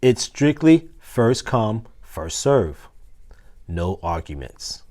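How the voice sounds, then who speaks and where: A brisk storybook schoolteacher , a woman as read by a man, firmly directs her class. The delivery is instructive and animated, with pitch that rises and falls widely. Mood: brisk, organizing.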